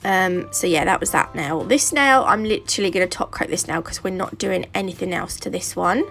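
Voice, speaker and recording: A British woman narrates a home nail tutorial, her voice gravelly and thickened by a sore throat and a stuffy nose. She rambles cheerfully, trailing off into fillers and asides. Faint music plays softly behind her. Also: fairly low pitch, brisk. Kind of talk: casual how-to narration.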